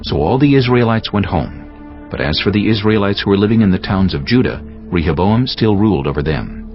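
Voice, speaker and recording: A man narrating dramatized scripture as a measured storyteller. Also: on the low side, expressive. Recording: slightly dull sound, music behind.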